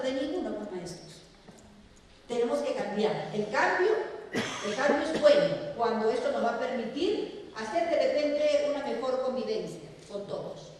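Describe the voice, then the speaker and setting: A woman's voice: high-pitched, slight background noise. Peruvian woman lecturing in an echoey hall. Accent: Peruvian Spanish. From Peru. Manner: animated, asks the audience questions and answers them herself. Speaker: teacher trainer giving a talk to teachers. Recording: echoey hall recorded from far off.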